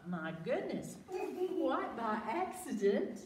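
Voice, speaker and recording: Animated woman reading to children. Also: high-pitched, takes it slowly. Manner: asks questions, repeats words for children. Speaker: library storytime host. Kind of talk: read-aloud storytime for young children. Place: echoing room with children present. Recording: roomy, distant mic with background noise.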